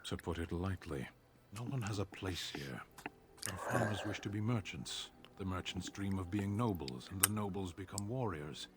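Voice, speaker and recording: A man playing a stern, blunt Qunari warrior in a video game, speaking in terse, formal, deadpan, measured phrases. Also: deep voice, heavy background noise. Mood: stoic, blunt. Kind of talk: video game character acting.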